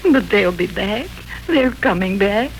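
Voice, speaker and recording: An older mother of a family in a 1940s radio drama, proper and earnest, with a stubborn streak. Her medium-pitched voice moves up and down expressively, on a noisy vintage radio recording. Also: animated, a little slow. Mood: insistent, upset. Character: grandmotherly family matriarch, gentle but stubborn about change. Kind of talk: 1940s radio serial acting.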